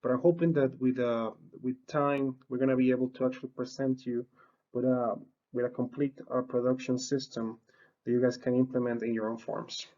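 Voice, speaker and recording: A man's voice: mid-range voice, little pitch movement, moderate pace, mellow, dull audio. A middle-aged man from the Republic, giving an extension webinar talk and filling his sentences with uh and um and restarts. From Dominican Republic. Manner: lots of uh and um, frequent restarts. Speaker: university horticulture researcher.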